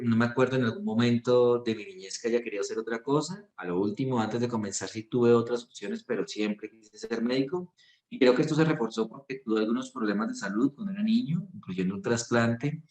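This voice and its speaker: A middle-aged Colombian doctor with a Bogotá accent, speaking earnestly and reflectively in an interview, with many fillers and restarts. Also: male voice, lowish voice, a little mellow. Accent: Colombian Spanish (Bogotá). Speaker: physician and research director. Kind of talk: promotional interview monologue. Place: clinic. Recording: echoey room.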